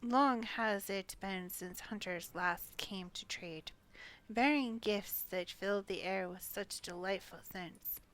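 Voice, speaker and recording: Woman as quaint, slow-spoken hive creature trader. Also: mid-range voice, fairly bright tone, slight background noise, rough recording. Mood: eager, welcoming. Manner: quaint inverted phrasing.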